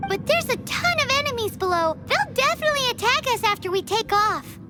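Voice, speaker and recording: A woman voicing a tiny floating fairy-like companion in a video game, chirpy and excitable, often questioning and worried. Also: extremely high pitch, fairly quick. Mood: uneasy. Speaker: sidekick companion. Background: game soundtrack music.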